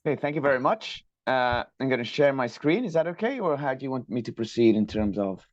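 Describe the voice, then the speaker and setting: A man presents a technical lecture over a video call. His mid-range voice is measured and courteous, with small academic hesitations. The audio is dry and slightly dull, like a conferencing line. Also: medium pitch, lively intonation, no echo. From Colombia. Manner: measured, polite, academic, with fillers. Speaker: guest lecturer, structural engineer.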